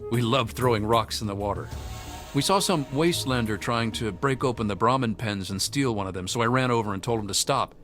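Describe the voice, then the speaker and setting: A man's voice: mid-range voice, a little fast, a bit bright, natural voice. An actor playing a troubled, earnest young man haunted by hunger, confiding hesitantly as video game dialogue. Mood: haunted recollection. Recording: music behind the voice.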